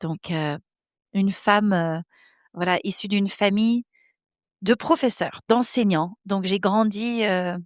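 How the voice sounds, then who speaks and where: A woman in her thirties speaks French with a clear American accent, pausing often and searching for her words. Her voice sits in a middle register. The sound is dry and close, recorded in a home office. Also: medium pitch, no echo. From United States, Midwest. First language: English. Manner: hesitant, searching for words, many fillers. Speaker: podcast host. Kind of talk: personal monologue.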